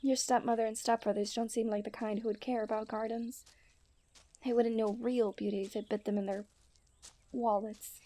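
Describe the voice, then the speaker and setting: Woman acting a mellow, genteel fairytale princess. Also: a little high, flat delivery, normal speed, close to an everyday voice. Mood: admiring, playful. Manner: formal, genteel fairytale phrasing with breathy sighs. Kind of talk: scripted romantic ASMR roleplay.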